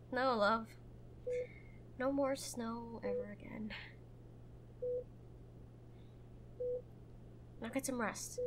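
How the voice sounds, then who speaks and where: A woman playing a protective, prickly young girlfriend in a roleplay audio drama, tender but bossy and halting when vulnerable. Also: on the high side, fairly bright tone, slight background noise. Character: protective, prickly young woman, frightened for her injured lover.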